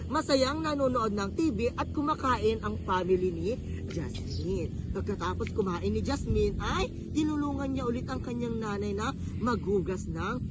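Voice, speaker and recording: Filipino woman storyteller in a noisy room. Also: medium pitch. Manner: questioning, teacherly. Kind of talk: interactive storytelling to children. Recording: noisy room with clear background noise.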